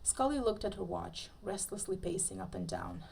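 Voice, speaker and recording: A woman with a crackly, fry-edged voice at an everyday pitch reads a story aloud with theatrical flair. She is playful and slips in joking asides like a podcast host. There is slight noise behind her. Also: huge pitch swings, normal speed, darkish tone. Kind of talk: dramatic live reading of fan fiction.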